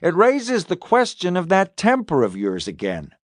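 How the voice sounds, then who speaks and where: A man performs a male police detective in an audiobook interrogation. His pitch sits on the high side and swings widely as he needles and taunts. The sound is very dry. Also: wildly swooping pitch, moderate pace, no room sound. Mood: pressing. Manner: probing, taunting.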